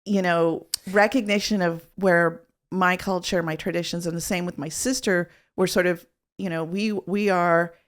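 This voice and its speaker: A woman with a fairly low voice answers questions in a casual interview, feeling her way through each thought. She pauses often, restarts her sentences and fills the gaps with ums, never hurrying. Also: fairly even intonation. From Tucson, Arizona. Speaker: interview guest, artist.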